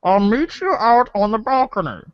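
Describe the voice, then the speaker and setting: A man voicing a composed, wordy teenage girl as a masked supervillain in a deadpan comic storybook impression. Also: sits high, normal speed, no echo, muffled recording. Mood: composed, formal. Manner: formal, verbose, deadpan.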